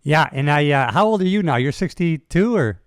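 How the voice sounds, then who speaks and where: A man's voice: on the high side, lively intonation, normal speed, no echo, studio quality. Enthusiastic man host in his sixties. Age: 60s. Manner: enthusiastic, buddy-like, calls guest brother. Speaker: podcast host. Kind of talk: casual interview talk.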